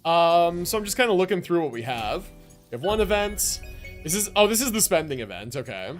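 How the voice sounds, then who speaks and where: A man with a slightly high, lively voice chats casually through a game livestream. He is slangy and laid-back but enthusiastic, swearing freely, with game music faintly behind him. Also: fairly quick. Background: video game music and character voices.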